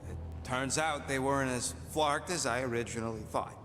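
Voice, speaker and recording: An actor playing a cranky, wisecracking outlaw creature in a video game, sarcastic and slangy. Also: male voice, mid-range voice, fairly even intonation, normal speed, fairly bright tone, long reverb tail, faint music behind, close to an everyday voice. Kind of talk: video game character acting.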